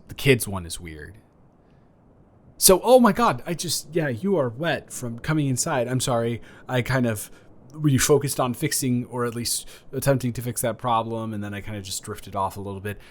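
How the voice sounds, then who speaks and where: Rambling, low-voiced young man next door, acted by a man. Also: close to an everyday voice. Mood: rambling, sheepish. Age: young adult. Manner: rambling, halting, self-correcting, awkwardly casual. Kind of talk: improvised romantic roleplay audio, casual chat.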